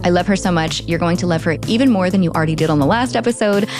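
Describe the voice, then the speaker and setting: A woman's voice: lowish voice, very fast, over music. A woman in her thirties hosting a podcast in casual, playful chat, often laughing. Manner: giggly, playful, chatty. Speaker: podcast host. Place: Paris.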